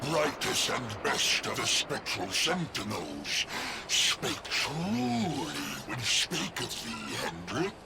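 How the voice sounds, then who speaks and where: A man's voice: medium pitch, wildly swooping pitch, slow, vocal fry, very noisy, full character voice. A man playing a gloating monster general in a video game, with pompous, archaic, theatrical diction. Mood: mocking, grandiose. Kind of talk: video game villain acting.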